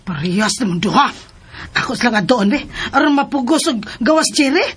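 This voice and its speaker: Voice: medium pitch, expressive, fairly quick, fairly bright tone, slight background noise, close to an everyday voice. A performer with a Cebuano Filipino accent voicing an impatient, scolding village relative in a radio drama. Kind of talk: Philippine radio drama acting.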